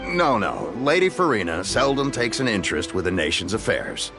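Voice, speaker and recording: A man's voice: on the low side, big pitch swings, moderate pace. An actor playing an affable, slightly cheeky townsman in a video game, talking in a casual, playful way. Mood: amused, explanatory. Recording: music behind the voice. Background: music.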